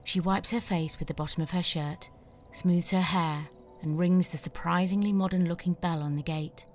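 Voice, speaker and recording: A woman's voice: lowish voice, steady tone, moderate pace, a little mellow. A woman narrator reading screenplay scene descriptions and stage directions as scripted narration, over music.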